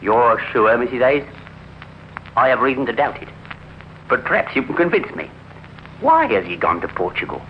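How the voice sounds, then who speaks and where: Guy playing suspicious formal gentleman in old radio drama, lively pitch swings, dull hissy sound. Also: moderate pace, long pauses, close to an everyday voice. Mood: probing. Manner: formal, probing, insistent. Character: suspicious, determined gentleman investigating a friend's disappearance. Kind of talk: 1950s radio crime drama acting.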